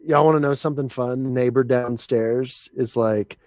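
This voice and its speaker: A man's voice: medium pitch, no echo. A man, a comedian guest, teasing his hosts in casual podcast banter in a small home studio. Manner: teasing, quick jokes, lively swings.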